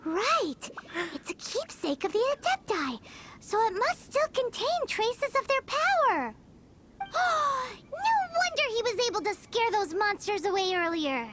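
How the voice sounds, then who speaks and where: A woman voicing a tiny, excitable childlike fairy companion in a video game, squeaky and exclamatory. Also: unhurried, very noisy, full character voice. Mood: curious, excited. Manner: speaks of self in third person, exclamatory. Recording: game music behind the voice.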